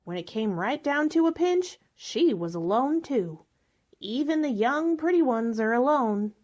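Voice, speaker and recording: A woman's voice: high-pitched, expressive, measured pace, darkish tone. A woman acting in a radio drama as a lonely, faded spinster who likes her wine, wistful, bitter and self-pitying. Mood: lonely, resigned.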